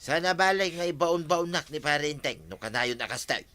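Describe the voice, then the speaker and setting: A man's voice: fairly high pitch, expressive, normal speed, sharp tone. A Filipino man acting a scheming, gloating village freeloader in an audio drama.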